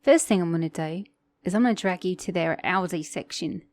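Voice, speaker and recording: A woman's voice: fairly low pitch, brisk, no echo, lightly acted. A woman with a mixed Australian and British accent playing a flirty, sassy, teasing car mechanic in an ASMR romance roleplay. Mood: excited, playful. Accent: Australian-British mix. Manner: flirty, teasing, playful, with soft chuckles.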